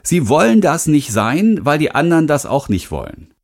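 A man's voice: a little high, huge pitch swings, moderate pace, vocal fry, a little mellow. Emphatic middle-aged man narrating self-help. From Rhineland, western Germany. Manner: candid, emphatic, addresses the listener directly. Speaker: audiobook author and speaking coach.

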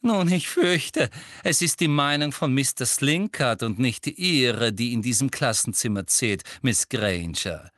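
Man voicing a saccharine, high-pitched female schoolteacher. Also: sing-song, no echo, studio quality. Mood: condescending. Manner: honey-sweet, condescending, deliberate. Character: saccharine, coldly authoritarian middle-aged female schoolteacher.

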